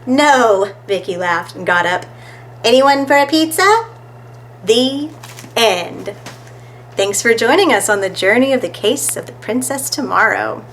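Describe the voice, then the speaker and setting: Female storytime reader, medium-pitched voice, narrating for children with faint background noise. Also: animated, measured pace, fairly bright tone.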